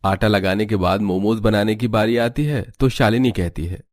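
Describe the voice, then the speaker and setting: A man's voice: lowish voice, moderate pace. A man delivering scripted narration as the storyteller of a cartoon tale.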